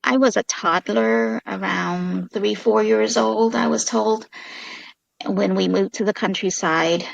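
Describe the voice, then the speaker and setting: A woman in her fifties from South Vietnam, a social worker, telling her story in an interview slowly and measuredly with many fillers. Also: mid-range voice, fairly even intonation, creaky, no echo, highs cut off. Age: 50s.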